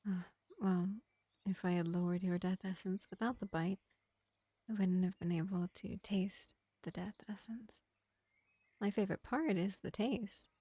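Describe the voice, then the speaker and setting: A woman playing a gentle, ancient pacifist vampire in an intimate fantasy roleplay, speaking in an unhurried, soothing half-whisper. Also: medium pitch, steady tone, slightly rough, no room sound. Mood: soft, confiding. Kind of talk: slow intimate ASMR-style fantasy roleplay.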